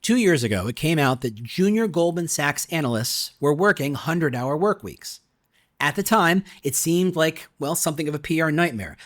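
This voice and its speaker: A man reading his own nonfiction book in a conversational way, with rhetorical questions and emphatic asides. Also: a little high. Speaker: author reading own audiobook. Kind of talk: conversational scripted nonfiction narration.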